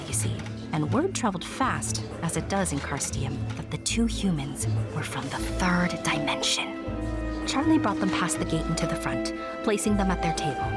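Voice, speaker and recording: A woman narrating a scripted story, with conversational asides to the listener. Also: lowish voice. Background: music.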